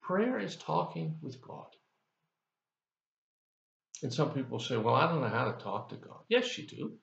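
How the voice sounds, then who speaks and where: A man's voice: medium pitch, sing-song, unhurried, creaky, fairly bright tone, reverberant, dull audio. An older man giving a folksy, conversational devotional as a pastor, asking the listener questions, recorded on a webcam in his office. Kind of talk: devotional sermon. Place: office, indoors, seated in leather chair.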